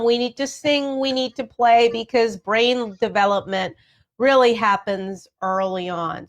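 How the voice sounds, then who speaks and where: Higher-voiced woman hosting, encouraging manner. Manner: encouraging, affirming, addresses listeners directly. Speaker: radio show host. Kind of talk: hosted educational talk for families.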